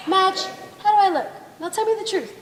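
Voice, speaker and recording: A young woman acting a brash but self-conscious teenage tomboy in a high school stage play. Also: a little fast. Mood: showy. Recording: echoey hall, distant mic, noisy.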